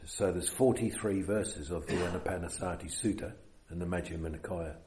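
A man with a New Zealand accent leading a meditation retreat, giving an unhurried dharma talk with many fillers. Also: low voice, reverberant, background noise.

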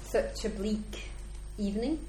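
A woman giving an informal talk as a visiting Buddhist speaker, chatty and self-deprecating, her voice slightly croaky. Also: medium pitch, measured pace. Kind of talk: informal talk to a small gathering. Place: meeting room at a Buddhist centre. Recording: echoey room, faint background noise.

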